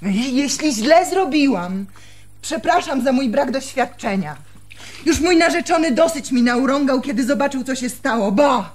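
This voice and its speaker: An actress playing an earnest young fiancée in a radio drama, explaining herself apologetically. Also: female voice, high-pitched, lively intonation, normal speed. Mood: apologetic, earnest. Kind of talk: Polish radio drama acting.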